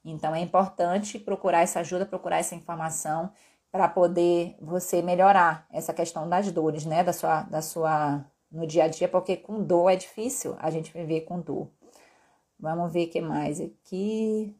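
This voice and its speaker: A woman's voice: on the low side, fairly even intonation, normal speed, a little mellow. A woman doctor with a Brazilian accent from Pará, mixed with Northeastern tones, casually answering viewer questions on a live stream. She explains things in a friendly way and keeps checking in with "tá?" and "né?". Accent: Brazilian Portuguese from Pará, mixed with Northeastern. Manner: friendly and explanatory, peppered with "tá?" and "né?" tags. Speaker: endocrinologist doctor hosting a live Q&A.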